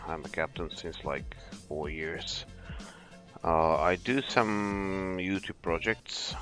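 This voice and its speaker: A man, an airline captain, chatting in a relaxed, explanatory way as he streams a flight simulator session. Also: deep voice. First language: Polish. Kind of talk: live stream commentary.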